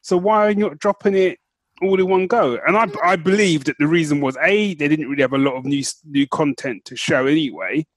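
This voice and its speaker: Higher-pitched man bantering casually. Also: fairly quick, rough recording. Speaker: podcast co-host.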